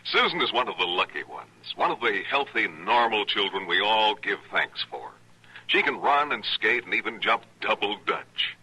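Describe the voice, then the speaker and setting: A man reading a scripted radio charity appeal in an earnest, deliberate manner. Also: on the low side, big pitch swings, bright, forceful tone, breathy edge, rough recording. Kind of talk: scripted radio public service narration. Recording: old radio recording.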